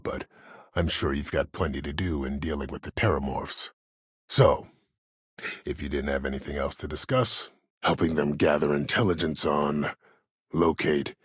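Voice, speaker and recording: Imperious, calculating older male commander for a video game: extremely deep, rough-edged, coldly measured and formal. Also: no room sound, clean studio sound, exaggerated voice. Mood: composed, persuasive. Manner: measured, formal, deliberate, menacing. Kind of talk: video game character dialogue.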